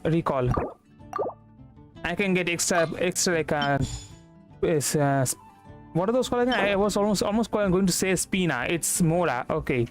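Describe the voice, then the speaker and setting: Young male streamer, higher voice, rambling casual game commentary over clear background music. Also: animated, normal speed, long pauses. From India. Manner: rambling, repeats words. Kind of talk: casual gameplay livestream commentary.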